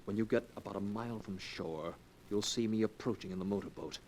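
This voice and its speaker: An actor plays a coaxing young schemer in a 1940s radio drama. His voice sits low and smooth, and he leans close as he persuades. A light hiss lies under the old broadcast. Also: a little fast, a little mellow, close to an everyday voice, rough recording. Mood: scheming. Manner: coaxing, intimate.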